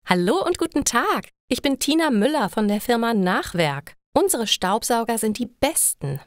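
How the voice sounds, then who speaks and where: A woman voicing a pushy, upbeat female telemarketer with a salesy, fast-talking delivery in an audio story. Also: a little high, very animated, no room sound, clean studio sound. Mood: pushy cheer.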